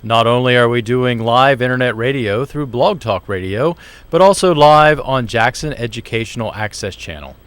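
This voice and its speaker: A man's voice: medium pitch, moderate pace, fairly bright tone, clean tone, no room sound. A man hosting a business talk show from a university TV studio, introducing guests in an upbeat, chatty way. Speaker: show host, small business center director.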